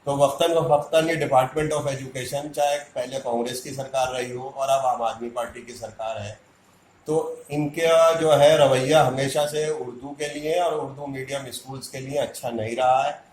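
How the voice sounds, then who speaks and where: A man's voice: medium pitch, fairly even intonation, moderate pace, a little mellow, a little hiss or hum. Indignant man, echoey room. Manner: indignant, argumentative, rhetorical questions. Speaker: community activist. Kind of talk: impassioned public statement.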